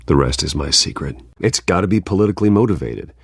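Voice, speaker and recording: A man playing a brooding, controlled wealthy vigilante in a video game, with a gravelly, husky voice and a terse, probing manner. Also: deep voice, lively intonation, fast talker, dry recording, studio quality, full character voice.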